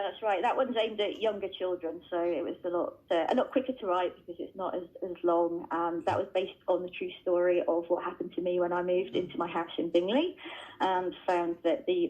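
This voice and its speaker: A woman's voice: on the low side, fairly even intonation, a little fast, dark tone, lo-fi sound. A woman children's author chatting as a radio guest from home, talking freely with lots of fillers and restarts. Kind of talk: casual interview answers. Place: at home, joining remotely. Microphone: remote line into radio studio.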